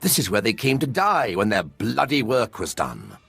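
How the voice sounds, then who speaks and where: An actor playing a giddy, scholarly tomb-raiding archaeologist in a video game, effusive and theatrical in manner. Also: male voice, fairly low pitch, huge pitch swings, moderate pace, gravelly, fairly bright tone, slightly rough, full character voice. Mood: hushed awe. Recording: music behind the voice.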